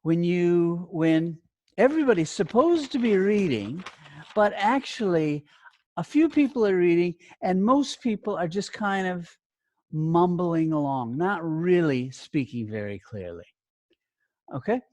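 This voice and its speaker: A man teaches in a slow, deliberate way, breaking his instructions into short phrases. His pitch moves around a great deal as he stresses points and checks in with short questions. The sound is close and dry. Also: expressive, a little mellow, dry recording. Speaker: teacher trainer giving a lesson. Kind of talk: instructional talk to learner teachers.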